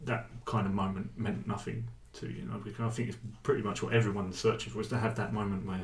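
A man's voice: on the low side, flat delivery, quick pace. Rambling English man in echoey room. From England. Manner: rambling, hesitant, self-interrupting. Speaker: spoken word performer. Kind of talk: casual interview chat.

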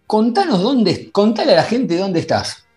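A man's voice: sits high, big pitch swings, normal speed, a little mellow, faint music behind. A man with a Rioplatense Spanish accent hosting a podcast interview, chatty and hesitant, full of fillers and restarts. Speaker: podcast host. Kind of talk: casual interview chat.

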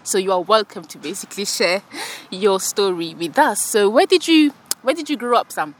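A woman from France hosting a podcast, talking casually and warmly with a guest while sitting on grass outside, laughing often and using lots of fillers. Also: mid-range voice, lively intonation, moderate pace. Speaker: podcast host.

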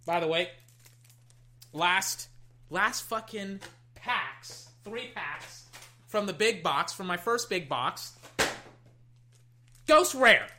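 A young adult, slightly hoarse, chatting casually while opening card packs at a desk, swinging between loud hype and low muttering and swearing freely. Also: lively intonation, drawn-out words, pauses often, slight background noise. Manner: swears casually, trails off and restarts. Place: at a desk indoors.

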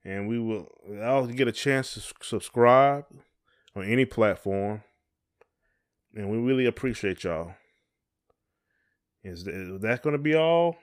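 A man's voice: medium pitch, animated, unhurried, pauses often. A middle-aged man, chatting casually as a podcast co-host in a folksy, encouraging way.

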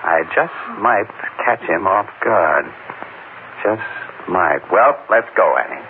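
Brief: easygoing, shrewd newsman, lowish voice with big swings, vintage radio mystery. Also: expressive, slow. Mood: shrewd, wary. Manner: casual, colloquial, questioning. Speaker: lead actor in a radio drama. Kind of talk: old-time radio drama acting. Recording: noisy, narrow-band old radio broadcast.

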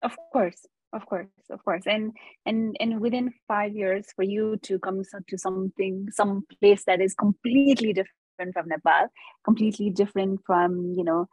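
A woman's voice: medium pitch, steady tone, normal speed, a little mellow, breathy edge, no room sound, low-quality recording. A woman hosting an interview, asking questions hesitantly with many ums and uhs and slipping in Nepali phrases. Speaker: podcast host. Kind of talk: casual interview conversation.

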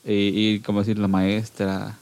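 A man's voice: on the low side, monotone, normal speed, vocal fry, darkish tone, no echo. A man in his thirties from Guatemala with a Guatemalan Spanish accent, chatting casually as a podcast guest. He laughs often and hesitates and restarts his sentences. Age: 30s. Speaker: podcast guest, band singer. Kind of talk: casual interview chat.

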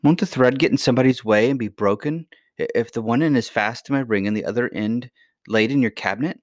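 An eager little princess from a children's storybook, acted by a male reader who lifts his voice. The delivery is raised in pitch, earnest and polite, full of curiosity and easily puzzled. The sound is dry. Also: a little fast, no room sound, close to an everyday voice. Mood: curious, polite. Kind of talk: acted dialogue in a children's fairy tale reading.

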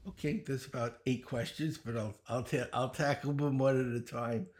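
A man's voice: medium pitch, steady tone, a little mellow. Older Brooklyn-accented man, joking guest, rattles off credentials. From Brooklyn, New York. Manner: wisecracking, chuckles at his own jokes, rapid run of credentials. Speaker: guest, speed-reading teacher. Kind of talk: casual interview answers.

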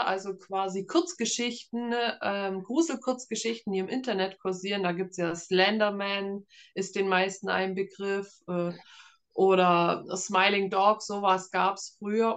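A woman's voice: medium pitch, a bit bright. A German woman in her thirties chatting casually and informally as an author being interviewed, with a self-deprecating touch. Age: 30s.

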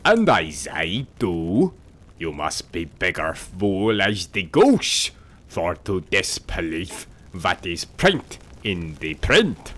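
A man's voice: low-pitched, huge pitch swings, takes it slowly, a bit bright, voice pushed down, heavily acted. A man voicing a quarrelsome male spirit with a heavy German accent, speaking broken, bossy English in a storybook reading. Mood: scornful. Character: gruff insolent male spirit, squat and quarrelsome. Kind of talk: audiobook character voice.

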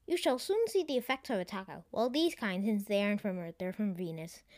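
A woman's voice: medium pitch, lively intonation, a little fast, a little mellow, no echo, studio quality, natural voice. A woman voicing a stern, sarcastic UFO computer that scolds in a matter-of-fact way in a children's story. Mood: dry warning.

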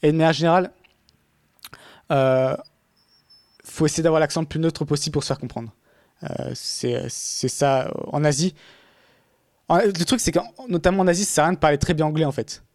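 A man's voice: on the high side, a little fast, pauses often, darkish tone, dry recording. A man talking casually in a podcast conversation, rambling with many fillers and restarts.

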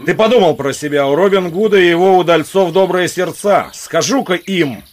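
An actor playing a bold, fair-minded outlaw leader in an audio drama, speaking with deliberate authority. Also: male voice, sits high. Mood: accusing. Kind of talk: Russian audio drama acting.

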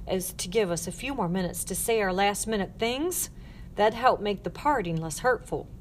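A woman's voice: mid-range voice, normal speed, natural voice. Folksy old Southern US lady played by a woman, protective storybook elder, noisy recording. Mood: polite. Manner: soothing, folksy dialect, firm when protecting. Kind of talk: audiobook character voice.

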